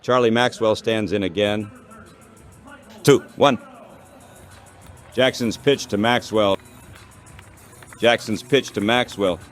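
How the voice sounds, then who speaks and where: A man's voice: on the low side, steady tone, slow pace, pauses often, faint music behind. A man's synthetic text-to-speech voice calling baseball play-by-play in short, clipped phrases. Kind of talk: baseball radio play-by-play commentary.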